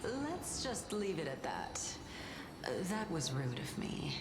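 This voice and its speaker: An actress playing a wise, reserved scholar in a video game, speaking in a deliberate, understated way. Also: female voice, low voice, sing-song, heavy background noise, full character voice. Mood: gently probing. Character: wise woman scholar, reserved, thoughtful, gently probing. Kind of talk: scripted dramatic game dialogue. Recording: echoey, music behind.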